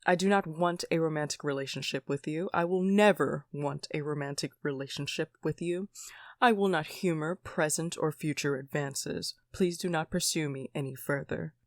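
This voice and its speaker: Deadpan, literal-minded warrior woman. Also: sits low, moderate pace, dry recording, studio quality. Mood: blunt, cold. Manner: deadpan, formal, clipped. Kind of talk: audio drama acting.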